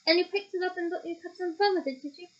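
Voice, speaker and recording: A stern middle-aged wizard official barks accusations in a fairly high voice, as a woman reads him. He sounds sharp and incredulous, and the recording is a little muffled. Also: quick pace.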